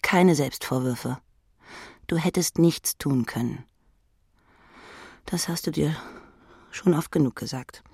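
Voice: mid-range voice, slow pace, gravelly, long pauses, a little mellow, slightly rough, dry recording, studio quality. A narrator reading literary fiction in the second person, in a dramatic manner. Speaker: audiobook narrator.

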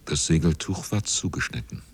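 An actor narrating a radio drama as a grave seaman, with a husky, often hushed delivery. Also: rumbling low, expressive, moderate pace, full character voice. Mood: measured, sombre.

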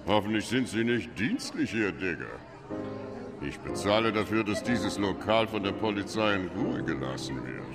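An actor as a very deep, wary bar owner. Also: male voice, animated, moderate pace, over music, close to an everyday voice. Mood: guarded. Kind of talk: German radio crime drama acting.

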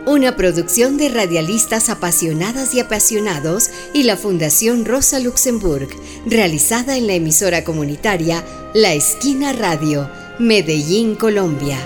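A woman's voice: medium pitch, lively intonation, moderate pace. Woman announcer over music. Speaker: credits announcer. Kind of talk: scripted announcement.

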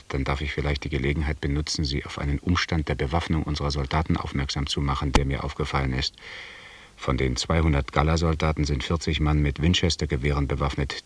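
An actor playing a dutiful, meticulous army lieutenant in a German radio drama, speaking formally and deferentially in a husky voice. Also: male voice, very deep voice, recorded from a distance.

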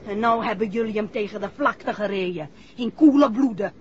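A woman's voice: medium pitch, a little fast, slight background noise, natural voice. An actress with a Netherlands Dutch accent playing an anxious, defiant mother in a 1960s radio play. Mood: defiant, accusing. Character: anxious, defiant working woman, mother of injured man. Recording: muffled, hazy old radio recording.

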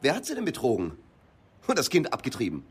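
A middle-aged landowner in a radio drama. He is husky and self-righteous, with indignant phrasing that moves widely in pitch. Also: huge pitch swings, fast talker, full character voice. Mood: indignant, accusing. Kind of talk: German radio drama acting.

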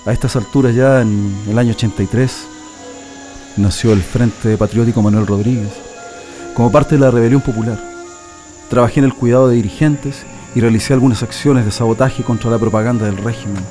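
A man's voice: mid-range voice, steady tone, fairly quick, dark tone, soft background music, natural voice. A Chilean man playing a former printing worker and resistance militant telling his story in a first-person radio drama. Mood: reflective. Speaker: narrator giving testimony in a radio drama.

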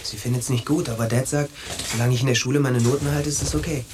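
A man's voice: mid-range voice, steady tone, fast talker, lightly acted. An actor playing a dreamy, earnest teenage boy with a matter-of-fact way of talking, in a German radio drama. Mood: eager, matter-of-fact. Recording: ambient noise behind studio drama. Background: background ambience.

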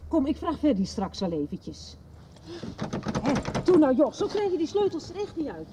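A bossy, kind-hearted middle-aged mother for a radio soap. Her highish voice swings animatedly as she briskly coaxes and takes charge. There is faint noise behind her. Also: very animated. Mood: hospitable, bustling. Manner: brisk, insistent, colloquial, coaxing.

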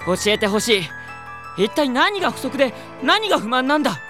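A man's voice: extremely high pitch, very animated, normal speed, music in the background. A man playing a proud, hot-headed young idol singer in an audio drama, earnest and theatrical, also narrating his own story. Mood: agitated, demanding. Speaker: lead character and narrator. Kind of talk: anime audio drama acting with first-person narration.

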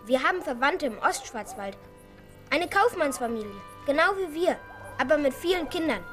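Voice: high voice, sing-song, normal speed, a bit bright. An actor in a children's radio play voicing a well-bred, clever merchant's son who explains things carefully and eagerly. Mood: thoughtful. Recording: studio radio play with music underneath.

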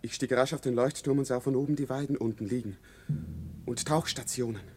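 A man's voice: medium pitch, fairly even intonation, a little fast, darkish tone, breathy edge, close to an everyday voice. An actor playing a dreamy condemned soldier who reports lyrically and deferentially to his captain in a poetic radio drama. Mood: dutiful reporting.

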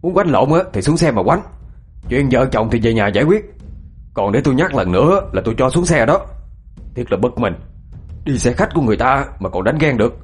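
A man voicing a gruff, irritable bus driver who barks and grumbles in an audio story. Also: fairly low pitch, animated, moderate pace, long pauses, faint music behind. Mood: irate. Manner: barking, grumbling. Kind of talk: audio ghost story acting.

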